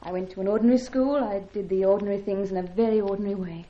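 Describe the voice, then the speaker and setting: A woman's voice: medium pitch, fairly even intonation, rapid-fire, mellow, natural voice. An actress playing a helpless, anxious young woman in an old radio adventure serial, with a genteel, earnest, fretful delivery. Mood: wistful, demure. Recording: dull, lo-fi old radio recording.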